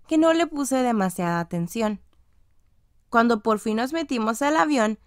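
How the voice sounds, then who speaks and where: Woman reading a kids story, highish voice w a bit of creak, really dry close sound. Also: dry recording. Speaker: audiobook narrator. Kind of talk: scripted children's story narration, first person as a child.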